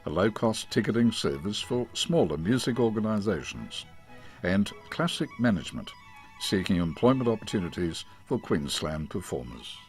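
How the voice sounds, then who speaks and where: Deep-voiced Australian man, expressive narrator over music. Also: normal speed. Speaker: radio station presenter. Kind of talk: scripted promotional narration.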